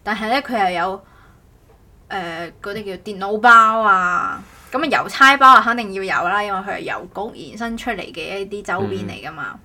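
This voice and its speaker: A woman co-hosts a casual podcast, chatting haltingly and often correcting herself. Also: mid-range voice, expressive, pushed and bright. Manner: halting, repeats words, self-correcting. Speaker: podcast host. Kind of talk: casual co-hosted podcast chat.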